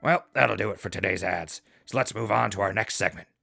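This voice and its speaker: A man playing a wry wasteland radio host in an audio drama, dry in manner, with a gravelly voice. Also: lowish voice, fairly quick, bright, forceful tone, faint music behind, heavily acted.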